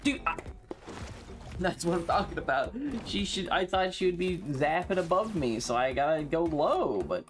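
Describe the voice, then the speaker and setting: Excitable sweary game streamer with swooping pitch. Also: moderate pace, faint music behind. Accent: American. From North Carolina, US. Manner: sweary, reacts with exclamations, repeats phrases. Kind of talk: casual live gameplay commentary.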